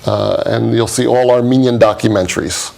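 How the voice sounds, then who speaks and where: American male guest, mid-pitched and gravelly, relaxed and conversational. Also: moderate pace. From United States. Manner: easygoing, conversational, explains plainly. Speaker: guest, documentary producer. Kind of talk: studio interview.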